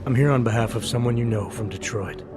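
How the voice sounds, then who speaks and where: Actor as a brisk, gravelly, deadpan American agent. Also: male voice, mid-range voice, lightly acted. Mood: firm, questioning. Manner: deadpan, clipped. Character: terse, guarded, jaded male agent. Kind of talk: video game cutscene acting. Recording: loud music behind. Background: music.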